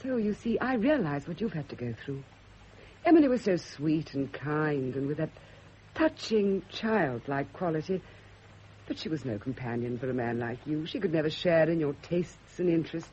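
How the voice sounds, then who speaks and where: A woman's voice: deep voice, lively intonation, darkish tone. An actress playing a fervent, lovesick woman who confesses hidden passion, in a theatrical classic radio drama style. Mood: earnest, probing. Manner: fervent, breathy pauses, theatrical intensity. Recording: dull old radio recording, faint hiss.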